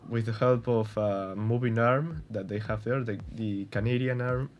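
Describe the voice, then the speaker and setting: A man's voice: medium pitch, fairly even intonation, moderate pace, lo-fi sound, a little hiss or hum. A young man from Spain with a Spanish accent, giving casual, hesitant commentary over gameplay with many fillers and restarts. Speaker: gaming YouTuber.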